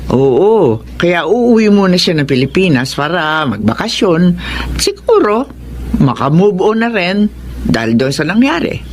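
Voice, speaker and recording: Casting: affectionate, fretful middle-aged Filipino mother, swooping expressive delivery, radio drama, faint background noise. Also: lowish voice, unhurried. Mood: concerned. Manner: expressive, exclaiming. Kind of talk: Tagalog radio drama acting.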